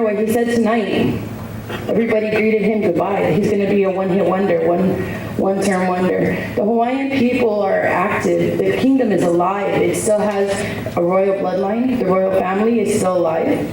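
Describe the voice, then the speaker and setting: A woman giving a rambling, earnest public comment at a hearing in a hotel ballroom, with many asides. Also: mid-range voice. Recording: echoey hall picked up from far off, with background noise.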